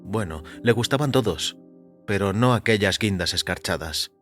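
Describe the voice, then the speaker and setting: A man narrates audio fiction in a fairly low voice. His delivery is measured and deliberate, like a storyteller reading in the first person. Soft music plays underneath. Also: expressive. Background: background music.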